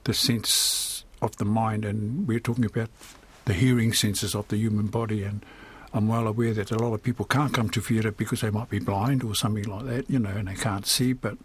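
A man's voice: on the low side, steady tone, fairly quick, hardly any pauses, husky. An older man with a New Zealand accent, speaking candidly and colloquially as an interview guest, reflecting on his own life. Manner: candid, colloquial, often adds "you know" and "eh". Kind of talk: reflective personal interview talk.

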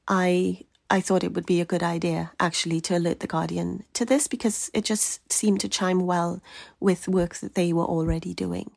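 A woman researcher and writer from Trinidad, answering interview questions in a measured, earnest way. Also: fairly low pitch, creaky, a little mellow, no echo, clean studio sound.

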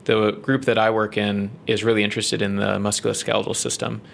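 A man with a New Zealand accent, a research scientist explaining his work in an interview, measured and full of ums and uhs. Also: fairly low pitch, level tone, vocal fry, a bit bright, a little hiss or hum.

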